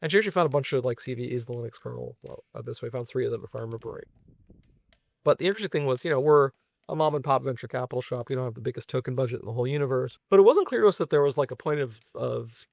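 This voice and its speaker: A man's voice: mid-range voice, lively intonation, racing, vocal fry, a little mellow. A man talking casually through technical ideas as an interview guest, reasoning aloud with hedges and tag questions. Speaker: guest, venture investor and software engineer.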